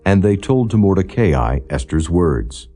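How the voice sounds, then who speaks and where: A man narrating scripture as an audiobook in a solemn, measured, formal storytelling delivery. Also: low voice, very animated, dark tone, breathy edge, soft background music. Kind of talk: solemn scripture reading, non-dramatized.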